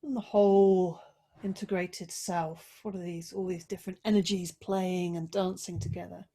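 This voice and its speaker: A woman yoga teacher guiding a relaxation and body check-in, giving slow, gently coaxing instructions with pauses between phrases. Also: fairly low pitch, little pitch movement.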